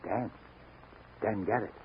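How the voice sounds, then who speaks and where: An actor playing a friendly, eccentric older doctor-chemist in a 1940s radio drama. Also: male voice, on the low side, slow, gravelly. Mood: urgent, confiding. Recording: tinny, noisy 1940 radio recording.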